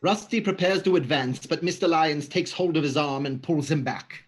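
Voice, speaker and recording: A man reading stage directions in a plain, matter-of-fact voice that sits slightly high for a man. He keeps it even and gives the action without drama. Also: normal speed.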